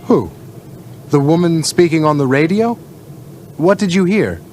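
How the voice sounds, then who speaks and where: A man's voice: on the high side, expressive, unhurried, darkish tone, a little hiss or hum. A man with an American accent playing a friendly, upbeat driver who explains things in a scripted English-learning radio drama. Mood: explanatory. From Northeast United States.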